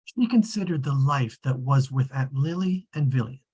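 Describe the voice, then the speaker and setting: A man reading as a wry, measured storybook narrator with a husky, rough-edged voice at a scripted table read. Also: medium pitch, animated, a little mellow.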